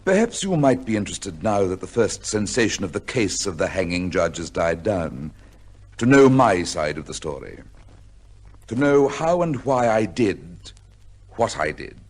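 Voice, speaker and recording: An actor playing a cold, proud judge in an old radio drama, speaking in a formal, emphatic way. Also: male voice, deep voice, sing-song, a little slow, slight background noise. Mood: brooding, confiding. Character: stern, cold, proud retired English high court judge. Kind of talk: old-time radio drama acting, first-person narration. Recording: old radio recording with music.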